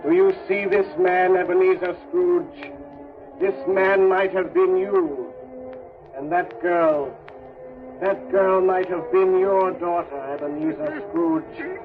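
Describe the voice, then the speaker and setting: An actor playing a solemn, reproachful spirit in a 1930s radio drama, speaking gravely over music on a tinny old broadcast. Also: male voice, high-pitched, steady tone. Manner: slow, grave, accusing repetition.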